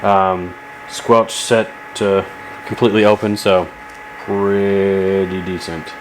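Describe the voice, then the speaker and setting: A man with a low-pitched voice hosts a casual repair vlog. He rambles along in a folksy, easygoing way, as if tinkering while he talks. There is noticeable workshop noise behind him. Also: flat delivery, slow, rough recording. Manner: rambling, folksy, laid-back. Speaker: host of a radio repair video.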